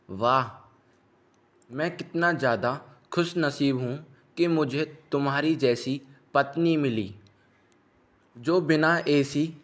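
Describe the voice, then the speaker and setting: A man's voice: medium pitch, close to an everyday voice. A man playing a poor, naive, admiring husband in a cartoon moral story, with a gushing, wondering manner.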